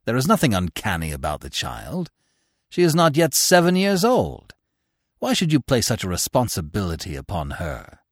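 A man reading the part of a stern, reserved male author who speaks curtly and in measured phrases. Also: lowish voice, huge pitch swings, breathy edge, dry recording, clean studio sound, voice pushed up, full character voice. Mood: sharp. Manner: curt, measured.

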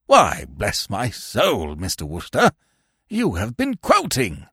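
Haughty old english lord for an audiobook, deep murmuring voice, ponderous and soft-hearted. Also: male voice, huge pitch swings, slow pace, breathy edge, no echo, studio quality, heavily acted. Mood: pleased surprise. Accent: upper-class English. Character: haughty, sentimental old English lord, easily won over.

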